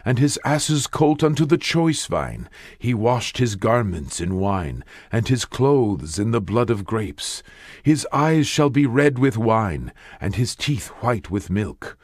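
A male narrator reads scripture in a solemn, declamatory way. His pitch sits in a middle range but moves widely from phrase to phrase. The delivery is measured and dramatic, and the sound is dry with no room around it. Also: dry recording. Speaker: audiobook narrator.

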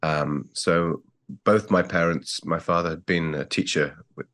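A man in his forties from England with an English accent, recalling his family in a thoughtful, measured way on a video call. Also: low-pitched, fairly even intonation, fairly bright tone. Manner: thoughtful, measured, with frequent restarts. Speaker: guest. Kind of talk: reflective interview talk. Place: plain-walled home room. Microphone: earbuds on a video call.

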